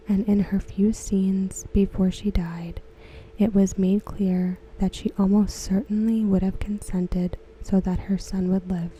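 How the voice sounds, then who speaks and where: A woman softly reading aloud for ASMR in a breathy near-whisper, gentle and unhurried. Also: mid-range voice, a little hiss or hum, rough recording. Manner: hushed, gentle, unhurried delivery. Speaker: ASMR creator. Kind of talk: soft-spoken ASMR read-aloud of written comments.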